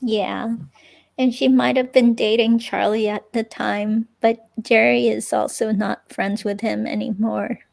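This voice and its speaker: Woman acting hesitant, creaky-voiced ex-girlfriend under questioning. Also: fairly high pitch, little pitch movement, measured pace, a little mellow. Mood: hesitant, vague. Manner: hesitant, rambling, casual. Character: evasive, unsure young ex-girlfriend being questioned by police. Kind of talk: dramatic reading of an interview transcript, conversational.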